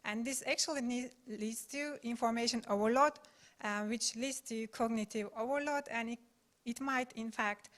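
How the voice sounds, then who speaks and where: A woman from Turkey, a postdoctoral researcher, giving a conference talk in a measured, careful way with frequent pauses. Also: a little high, a bit bright. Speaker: invited speaker, postdoctoral researcher. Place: meetup room.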